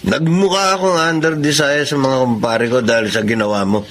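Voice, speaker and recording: A man's voice: mid-range voice, steady tone, a little fast, fairly bright tone, a little hiss or hum, close to an everyday voice. A Filipino actor playing an irritable, defiant, hard-drinking fisherman husband in a radio drama, grumbling and dismissive.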